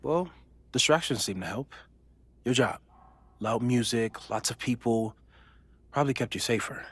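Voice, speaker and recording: An actor playing an earnest, analytical and worried friend, gentle and thoughtful, in naturalistic video game dialogue. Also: male voice, medium pitch, measured pace, husky. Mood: concerned, thoughtful.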